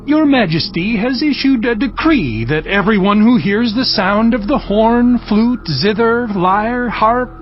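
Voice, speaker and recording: A man's voice: high voice, expressive, a little slow, few pauses, slight background noise. A man playing an accusing court astrologer, formally addressing a king in a dramatized scripture recording. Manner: formal, denouncing. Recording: dull lo-fi audio, faint music.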